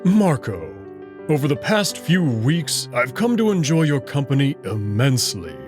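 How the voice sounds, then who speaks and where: Man playing an earnest wolf suitor, wildly swooping pitch. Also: big pitch swings, over music. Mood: earnest, formal. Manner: formal, halting, earnest phrasing. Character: earnest, nervous male wolf alien courting shyly.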